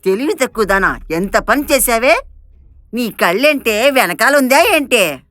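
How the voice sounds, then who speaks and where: Woman as a sharp, scolding house mistress. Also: fairly high pitch, expressive, measured pace, no echo, faint music behind. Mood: angry, scornful. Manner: bossy, berating. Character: harsh, scolding, contemptuous lady of the house. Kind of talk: animated moral story acting.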